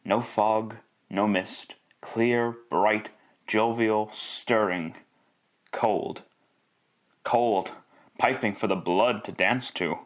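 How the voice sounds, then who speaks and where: A man's voice: fairly low pitch, fairly even intonation, very slow. A man narrating a Christmas tale in classic radio style, wry and theatrical, savouring each list and repetition. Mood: exultant.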